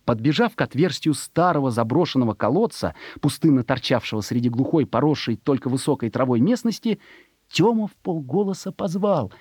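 A man narrating a children's story in an expressive, measured, suspenseful dramatic reading. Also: medium pitch, breathy edge, no echo.

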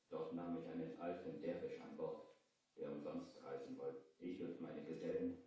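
Dark, deep-voiced cursed pirate captain. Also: male voice. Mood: grave recollection. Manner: slow, solemn, dramatic delivery. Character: cursed dying pirate captain, brutal once, now grateful. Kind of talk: 1970s German audio play acting. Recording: cavernous echo, distant from the mic.

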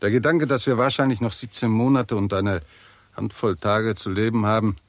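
An actor playing a weary, irritable and pessimistic scientist in a 1960s German radio drama, husky and articulate, with a sardonic, argumentative manner. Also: male voice, fairly low pitch, fairly even intonation, moderate pace, close to an everyday voice. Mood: gloomy.

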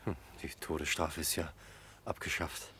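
A salesman guest in a radio drama. His voice is deep and husky. He comes across as jovial yet uneasy, a man who is easily spooked. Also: fairly even intonation, normal speed, sharp tone, heavily acted. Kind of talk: German radio play acting.

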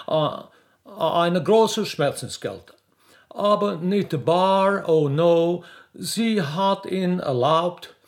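Halting pompous English envoy. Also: male voice, sits high. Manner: halting, hesitant, groping for words. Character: stammering pompous British envoy, mangling German.

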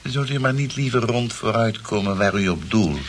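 A stiff, haughty jurist from a radio drama, acted by a man, with a very low voice. He speaks formally and curtly, full of disdain and suspicion, on a faintly noisy old recording. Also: lively intonation, normal speed, close to an everyday voice. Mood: needling. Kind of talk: radio play acting in Dutch.

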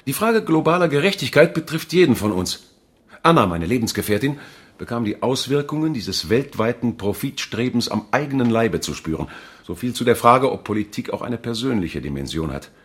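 An actor playing a defensive, righteous political protester in a German radio crime drama, arguing emphatically. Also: male voice, mid-range voice, very animated, fairly quick, lightly acted. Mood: indignant, preachy. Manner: emphatic, argumentative. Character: defensive, righteous male anti-globalization protester.

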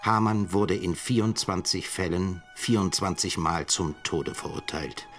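An actor playing a grave, defiant professor in a radio drama, with a solemn, literary delivery. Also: male voice, low voice, unhurried, a bit bright, soft background music. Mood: grave narration. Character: grave, defiant male professor chronicling a trial.

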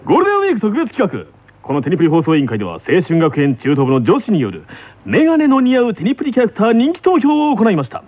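An actor playing a stern teenage tennis captain who hosts a radio drama show with formal, dramatic announcing. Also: male voice, sits high, wildly swooping pitch, fast, dull audio, exaggerated voice. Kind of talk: scripted comic radio drama hosting.

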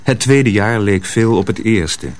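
Slow, low-voiced male storyteller. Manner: measured, storytelling. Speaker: narrator. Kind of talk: scripted dramatic radio narration.

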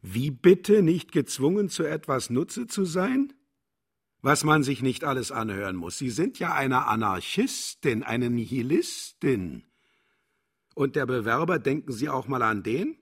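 A man's voice: medium pitch, lively intonation, unhurried, husky. An actor playing a cynical, condescending man who lectures pompously about profit in a theatrical radio drama. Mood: indignant. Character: cynical, condescending male defender of killing for profit.

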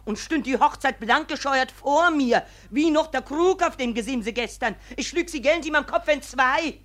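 An actress playing a furious, sharp-tongued middle-aged village mother, indignant and haranguing, in a 1950s German radio play. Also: sits high, animated, normal speed. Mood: outraged.

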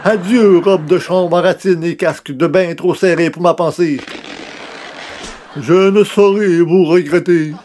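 A man's voice: high voice, measured pace, darkish tone, a little hiss or hum. A man playing a self-important would-be writer and columnist in an old-time radio comedy, theatrical and grumbling. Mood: grandiloquent. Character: pompous, wounded would-be great writer and newspaper columnist.

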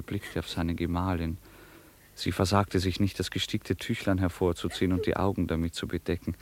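A man narrating a literary radio play in a measured, formal way. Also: low-pitched, darkish tone.

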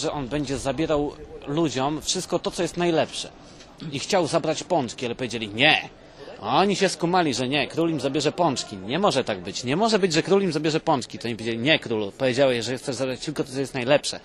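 A man improvising a mock legend in colloquial, deadpan comic talk, repeating himself as he goes. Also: medium pitch. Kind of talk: improvised comic storytelling and banter.

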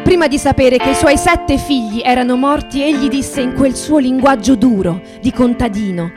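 A woman acting in poetic radio theatre as a wondering, solemn storyteller, with a measured, lyrical delivery. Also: high-pitched, close to an everyday voice. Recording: guitar music behind the voice.

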